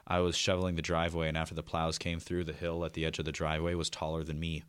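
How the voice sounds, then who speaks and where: A man's voice: rumbling low, monotone, fast talker, smooth, dry recording. A young man with a Midwestern American accent and a distinctive radio-ready voice, chatting casually as a guest. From Iowa, United States. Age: young adult. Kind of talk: casual chat. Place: radio studio. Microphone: close studio microphone.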